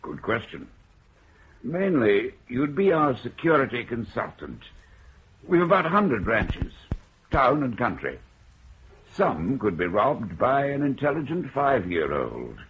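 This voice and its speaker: An actor playing a smooth, businesslike bank general manager in a radio drama. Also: male voice, medium pitch, wildly swooping pitch, a little slow, full character voice. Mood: urbane. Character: suave bank general manager, middle-aged man. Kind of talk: 1970s radio drama acting. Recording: dull lo-fi radio play recording.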